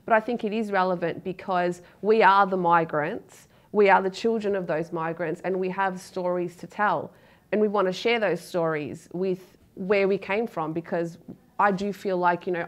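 A woman's voice: on the low side, steady tone, normal speed. Earnest Australian woman poet in studio. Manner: earnest, open, with many fillers and restarts. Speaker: poet, interview guest. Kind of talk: studio interview. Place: dark TV studio, seated in a chair.

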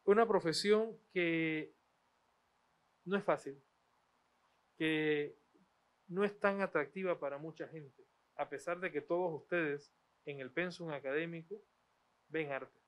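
A middle-aged man, formally reading guest introductions and then moderating a university panel with measured pauses, on a handheld mic in a studio. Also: fairly high pitch. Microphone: handheld microphone.

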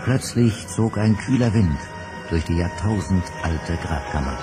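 A man narrating a spooky radio play in a measured, ominous way. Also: low voice, lively intonation. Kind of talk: scripted narration. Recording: music under the narration.

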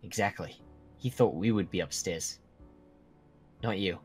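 A man's voice: sits low, measured pace, a little mellow, husky. A young man playing a protective, earnest teenage werewolf friend in a Minecraft roleplay drama, speaking in broken, dramatic phrases. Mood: grave, suspicious. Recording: music behind the voice.

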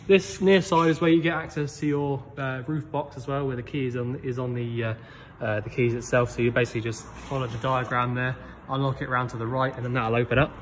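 A man talking through a camper van handover in a relaxed way with many ums, filming on a handheld phone. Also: medium pitch, brisk, hardly any pauses, slight background noise. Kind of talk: casual walkthrough, filmed on phone. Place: in and around a parked van, workshop. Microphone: handheld phone, one-handed filming.

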